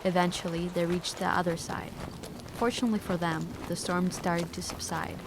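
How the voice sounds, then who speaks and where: A woman's voice: on the low side, fairly even intonation, moderate pace, noisy background. A woman reading scripted narration for an audio drama.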